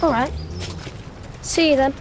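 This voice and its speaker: An actress playing a blunt, defiant but loyal young child in a naturalistic radio drama. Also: female voice, high-pitched, measured pace, noisy background. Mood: earnest.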